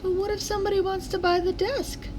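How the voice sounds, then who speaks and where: A woman's voice: very high-pitched, flat delivery, quick pace, a little mellow, slight background noise, voice pushed up. A woman voicing an earnest, determined young girl in a children's storybook, with an insistent manner. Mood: worried.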